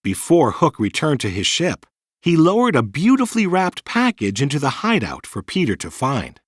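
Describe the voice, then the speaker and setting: A man reading a children's storybook in an animated, dramatic storytelling manner. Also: fairly high pitch, moderate pace, breathy edge, no room sound, studio quality. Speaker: storybook narrator. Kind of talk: scripted children's storybook narration.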